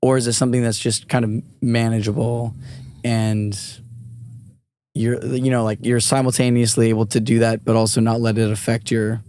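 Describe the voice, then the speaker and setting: A podcast host with a somewhat raspy voice, asking long, rambling questions and giving frequent backchannel murmurs. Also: medium pitch, little pitch movement, moderate pace, a little mellow. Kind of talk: casual interview questions.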